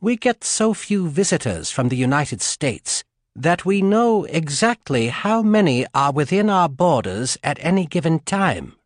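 A man voicing a cultured middle-aged military interrogator with a slight British accent, smooth and politely menacing. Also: on the high side, very animated, unhurried, no room sound, clean studio sound, pitched above the performer's own voice. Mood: suave, probing.

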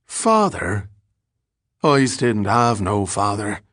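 A man's voice: lowish voice, sing-song, slow, fairly bright tone, no room sound, clean studio sound, exaggerated voice. A man voicing a ragged, dazed drifter in an audiobook story, bitter and rambling, with repetitive, slangy street talk. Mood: scornful, agitated.

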